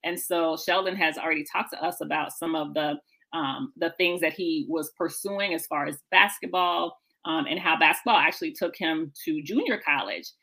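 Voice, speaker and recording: An American woman with a rather low but bright, forceful voice hosts a live interview show. She speaks in an affirming way, recapping what has been shared and steering the conversation along. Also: moderate pace, vocal fry, low-quality recording.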